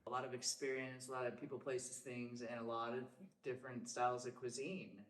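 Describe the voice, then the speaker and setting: A woman's voice: a bass voice, level tone. Upbeat chatty woman podcast host, roomy sound. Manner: upbeat, chatty, quick asides. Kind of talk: casual interview chat. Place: Los Angeles. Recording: roomy echoey room.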